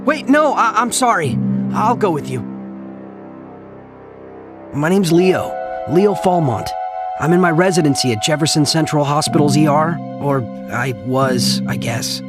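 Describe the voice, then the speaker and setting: A man's voice: on the high side, animated, measured pace, long pauses, music in the background. A man playing a timid, shaken young doctor in a video game cutscene, hesitant and apologetic. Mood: nervous, apologetic. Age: young adult.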